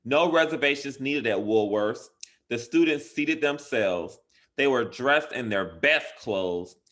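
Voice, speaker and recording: A man reads a children's story aloud at a slow, measured pace. His mid-pitched voice is bright and forceful. He phrases deliberately, leaning hard on key words for dramatic effect, like an educator reading to young pupils. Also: animated. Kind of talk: expressive picture-book read-aloud for children.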